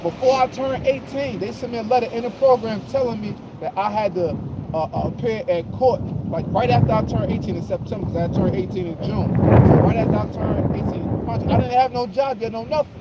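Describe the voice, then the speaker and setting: Man, casual storyteller, highish voice, loud wind noise. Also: a little fast. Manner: casual street talk, many "or whatever" asides. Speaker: discussion participant. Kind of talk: casual storytelling in group talk.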